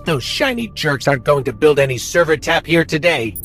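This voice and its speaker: Casting: confident game squadmate, wry and colloquial, slightly high voice with animated delivery. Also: male voice, a little fast, faint music behind. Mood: satisfied, wry. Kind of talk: video game mission dialogue.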